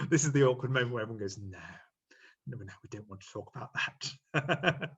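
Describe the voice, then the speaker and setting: A middle-aged man moderates a panel from his home over a video call, his voice sitting in a middle pitch. He talks in a friendly, halting way, full of ums. The sound is slightly dull and muffled. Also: lively intonation, husky. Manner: friendly, hesitant, many ums and uhs. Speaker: university lecturer hosting a panel. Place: home room.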